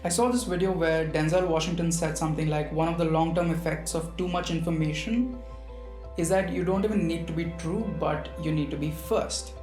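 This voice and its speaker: A man's voice: fairly high pitch, brisk. A man hosting a video essay, narrating in a conversational storytelling way and addressing the viewer directly. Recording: roomy voice with background music.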